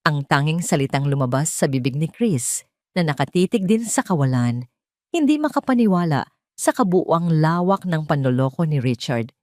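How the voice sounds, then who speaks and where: A woman's voice: deep voice, animated, moderate pace, a little mellow, no room sound, clean studio sound. A woman with a Filipino accent narrates a scripted dramatic story as an audio drama storyteller.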